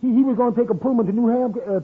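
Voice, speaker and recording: A very high-pitched stuttering barber. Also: male voice, rapid-fire, slightly rough. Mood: flustered. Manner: stuttering, self-correcting, scatterbrained. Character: flustered, stuttering barber who keeps muddling his words. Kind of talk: 1950s radio comedy acting. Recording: tinny vintage radio recording.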